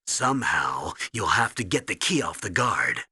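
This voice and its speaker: A man playing a gruff, urgent military commanding officer briefing his agent in a video game, with a commanding, instructive delivery. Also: sits low, big pitch swings, moderate pace, sharp tone, no echo, studio quality, exaggerated voice. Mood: commanding, steady.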